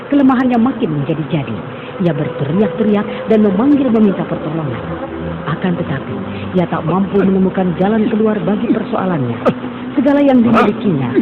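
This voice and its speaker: A man narrating a religious cartoon story in a grave, measured way. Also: high-pitched, heavy background noise. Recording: phone-like sound under loud music.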